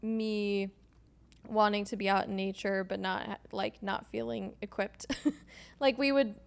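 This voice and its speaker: Gravelly-voiced Swedish-born woman, hesitant. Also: mid-range voice, fairly even intonation, unhurried. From Sweden. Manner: thoughtful, hesitant, many ums and likes, laughs often. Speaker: podcast guest. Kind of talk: casual conversational interview.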